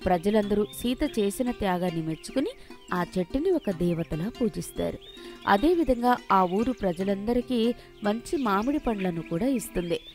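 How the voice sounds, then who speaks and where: A woman's voice: medium pitch, lively intonation, moderate pace, a little mellow. A woman narrating a scripted children's moral story as the storyteller. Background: faint music.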